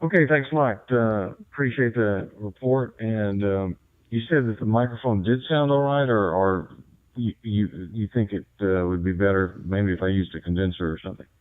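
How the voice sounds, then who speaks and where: A man's voice: lowish voice, normal speed, no echo, phone-quality sound. A radio operator, a man, talking politely on air and often stumbling through restarts. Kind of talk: casual on-air chat. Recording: thin radio transmission.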